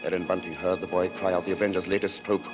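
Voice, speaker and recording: Low, ominous male suspense narrator. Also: level tone, music in the background. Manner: measured, ominous, theatrical pauses. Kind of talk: dramatic radio suspense narration.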